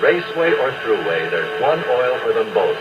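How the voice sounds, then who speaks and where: Animated American ad announcer over loud music. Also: male voice, mid-range voice, normal speed. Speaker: commercial announcer. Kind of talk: 1960s radio advertisement read. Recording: muffled 1969 radio taped on cassette.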